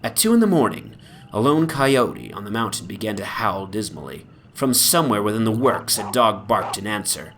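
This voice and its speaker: A man giving a formal, measured, theatrical dramatic reading of a gothic horror story as its narrator. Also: lowish voice. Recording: background noise from an ambient soundscape. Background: atmospheric soundscape.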